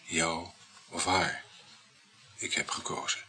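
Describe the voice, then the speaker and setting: An actor in a radio play voicing a probing, jealous married man carrying on an affair, with a husky voice. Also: low voice, completely flat delivery, slow pace, pushed and bright, heavily acted. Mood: subdued, earnest. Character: married male lover, insecure, probing, jealous.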